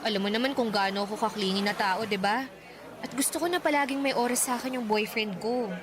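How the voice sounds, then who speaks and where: A woman's voice: fairly high pitch, fast, close to an everyday voice. An actress playing a troubled, affectionate young girlfriend in a radio drama, with an earnest, pleading manner. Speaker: young woman in a love story. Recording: clear background noise.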